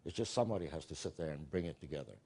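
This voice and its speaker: A man speaking on a conference panel, halting and often repeating words as he restarts. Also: extremely low pitch, animated, a little mellow, husky. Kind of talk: panel discussion remarks. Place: conference hall.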